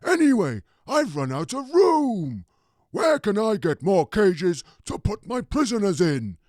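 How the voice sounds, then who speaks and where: Comedy advert role: sinister, bumbling gaoler, male actor, high and very slow delivery, dry sound. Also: very animated, a little mellow, no echo, heavily acted. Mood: gleefully sinister. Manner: muddled, hesitant, self-correcting. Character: creepy, muddled jailer who relishes caging people. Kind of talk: comedy radio advert sketch.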